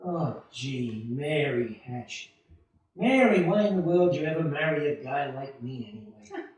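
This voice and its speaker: A man playing an earnest, excitable young small-town family man in a 1940s-style radio play, with a stammering, folksy delivery. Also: on the high side, measured pace. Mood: dejected. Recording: distant, very echoey stage hall.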